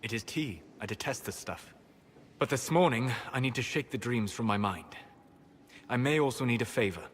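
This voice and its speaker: An actor playing a scholarly, protective elven mage in a video game, with measured, precise and formal diction. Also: male voice, medium pitch, expressive, lightly acted. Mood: grave, measured. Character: male elven mage, scholarly, calm but fiercely protective. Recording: long reverb, faint background noise.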